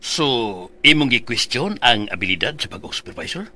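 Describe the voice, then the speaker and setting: A man voicing a domineering, arrogant male office manager who barks orders loudly in a radio drama. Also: mid-range voice, big pitch swings, normal speed, exaggerated voice. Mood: cold, commanding. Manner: loud, dismissive, commanding. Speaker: boss.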